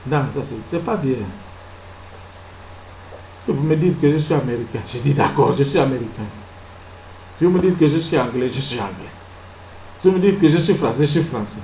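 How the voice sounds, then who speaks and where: A man preaching a sermon as pastor. He fires rhetorical questions, moves between soft asides and loud exclamations, and acts out little scenes. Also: medium pitch, normal speed, pauses often, roomy sound, slight background noise, rough recording. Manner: acts out little scenes and laughs at his own jokes.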